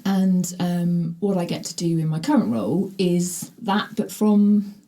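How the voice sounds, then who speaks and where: A woman in her forties, a guest in a podcast studio, talking in a halting way with many filler sounds. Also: fairly low pitch, fairly even intonation, warm tone. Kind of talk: casual interview.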